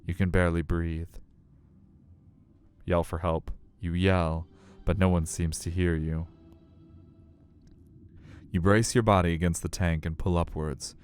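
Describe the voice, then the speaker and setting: A man's voice: sits low, steady tone, a little slow, pauses often, a little mellow, clean tone. A man reading a visual novel aloud as dramatic, suspenseful story narration.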